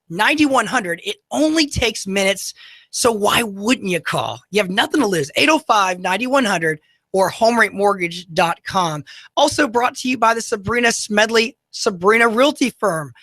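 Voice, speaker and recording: Radio host, male, 30s, high light voice, eager, rambling, dry studio mic. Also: no room sound. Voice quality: high, light voice often taken for a woman's. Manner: energetic, rambling, self-deprecating. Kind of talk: talk radio hosting with sponsor reads.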